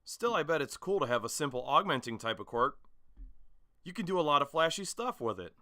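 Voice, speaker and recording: A man's voice: a little high, big pitch swings, fairly quick, bright, forceful tone, no echo, clean studio sound, pitched above the performer's own voice. A man voicing a brash, hot-headed teenage superhero student in a comedic anime dub style, with punchy, emphatic delivery. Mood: eager, envious. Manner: punchy, emphatic, indignant or eager.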